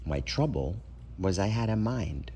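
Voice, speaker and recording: A man's voice: sits low, expressive, unhurried, mellow, slight background noise. A man reading a children's storybook aloud expressively, as a parent would for kids.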